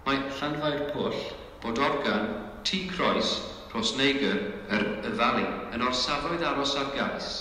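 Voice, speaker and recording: A man's voice: mid-range voice, a little slow, pushed and bright. A man reads formal automated railway station announcements in clipped, pieced-together phrases over a public-address system. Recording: distant echoing station PA, faint noise.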